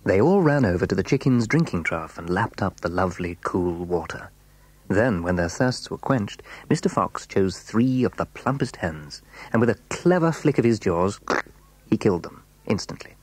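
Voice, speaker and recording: A man narrating a children's story in a dramatic, expressive, suspenseful way, with a husky, breathy voice. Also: on the low side, moderate pace. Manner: expressive, suspenseful, building excitement.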